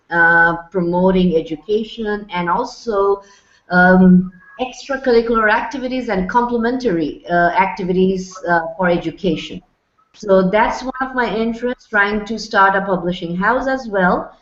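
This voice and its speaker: A woman's voice: medium pitch, a bit bright. A woman from Bangladesh in her thirties leads a virtual lecture, her tone friendly and encouraging, with frequent fillers. The sound is roomy and dull, as on a video call. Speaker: guest lecturer.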